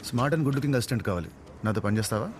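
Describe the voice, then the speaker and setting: An actor playing a smooth-talking, cheeky young male con artist in a film drama, with glib, philosophical patter. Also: mid-range voice, animated, very fast, heavy background noise, natural voice. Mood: smug. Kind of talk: Telugu film dialogue. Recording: loud film music under dialogue. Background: loud film score.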